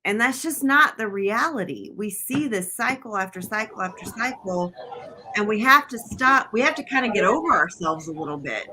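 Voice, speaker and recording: A woman's voice: mid-range voice, animated, normal speed, crowd noise, rough recording. An American woman whose first language was not English, speaking earnestly as a guest on a live political panel. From United States. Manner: earnest, emphatic, with restarts. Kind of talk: live political discussion on a video call.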